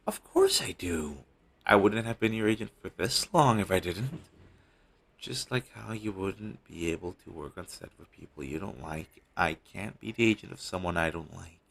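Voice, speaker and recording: A man playing a devoted, apologetic talent agent in an audio roleplay, earnest and hesitant, with a husky, gravelly voice on a low-quality amateur recording. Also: sing-song, unhurried, exaggerated voice. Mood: sincere, fond. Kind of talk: scripted audio roleplay, intimate one-sided dialogue.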